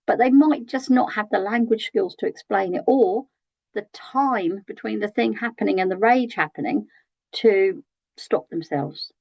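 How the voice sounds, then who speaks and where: A British woman with a croaky, gravelly voice leads an early years training session. Her delivery is chatty, and she often checks in with tag questions and pauses on "um". The sound is dry and a little dull. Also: medium pitch, no echo. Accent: British English. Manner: conversational, asks tag questions, frequent ums. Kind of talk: training webinar talk.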